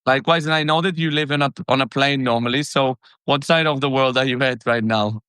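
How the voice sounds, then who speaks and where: A man's voice: mid-range voice, brisk, a bit bright, smooth, dry recording, clean studio sound. A man hosting a business podcast, chatting easily with his guest and often restarting his sentences. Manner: chatty, friendly, stumbling restarts. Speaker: podcast host. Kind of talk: casual podcast interview.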